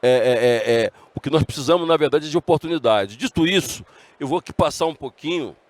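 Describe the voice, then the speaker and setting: A man's voice: fairly high pitch, animated, a little fast, no echo. A middle-aged man with a Brazilian Portuguese accent giving a conference talk, earnest and often restarting his words. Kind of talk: conference speech. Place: conference stage.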